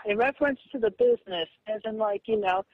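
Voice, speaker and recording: A woman on a lo-fi phone call, complaining in a halting, repetitive way with stiffly formal wording. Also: medium pitch, no room sound. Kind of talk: aggrieved phone conversation. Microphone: telephone. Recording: dull, lo-fi phone line.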